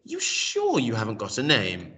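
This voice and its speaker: Man as kindly old storybook husband, curious, patient, very lively intonation, slightly distant mic. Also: medium pitch, moderate pace. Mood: curious, explaining. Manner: gently questioning, patiently explaining.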